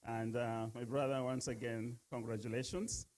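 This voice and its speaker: A man from Uganda giving a halting speech at a gala, full of fillers. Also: mid-range voice, flat delivery, a little mellow. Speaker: speaker at a gala. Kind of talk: casual speech to an audience.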